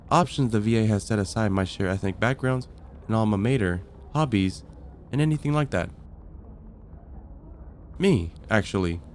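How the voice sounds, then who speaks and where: A man playing a friendly, patient vampire caseworker in an ASMR roleplay, explaining things calmly with gentle dry wit. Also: on the low side, slight background noise, lightly acted. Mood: friendly, reassuring. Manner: calm, explanatory, gently wry. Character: friendly, patient vampire caseworker onboarding a newcomer, dryly amused. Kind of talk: ASMR roleplay, conversational acting.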